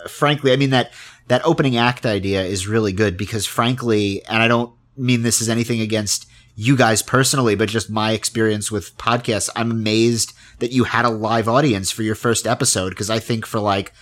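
Casting: male podcast interviewer, slightly deep voice, casual and halting, repeats himself while thinking. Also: fairly even intonation, a bit bright. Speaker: interviewer, audio drama podcaster.

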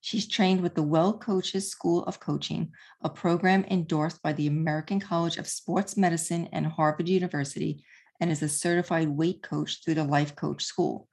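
A woman in her fifties hosts an interview in a chatty, easygoing way, laughing often. Her voice is a bit low and slightly husky. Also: steady tone, normal speed, darkish tone. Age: 50s. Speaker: podcast host. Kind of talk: interview conversation.

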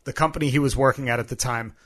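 A man's voice: medium pitch, fairly even intonation, racing, a bit bright. A man co-hosting a podcast in a casual, joking and self-deprecating chat style. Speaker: podcast co-host.

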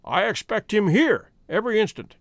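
A masterful storybook detective, voiced by a male narrator, composed and stern. He speaks precisely and with command, in a high, expressive voice, recorded dry. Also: normal speed, dry recording. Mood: assured.

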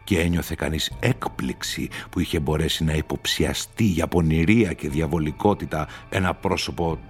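A man narrating a literary story dramatically, with slow and deliberate delivery. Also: very deep voice, soft background music.

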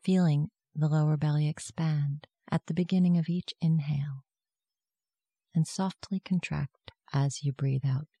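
A meditation guide giving gentle, soft-spoken, measured instructions in a scripted guided meditation. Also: medium pitch, fairly even intonation, slightly rough, dry recording.

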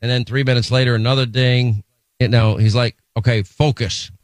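A middle-aged man hosts talk radio with brash, quick, teasing energy. His voice sits at an ordinary middle pitch. The studio mic gives it a dry, close sound. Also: steady tone, no echo. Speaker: radio talk show host. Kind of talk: talk radio banter and live read ad.

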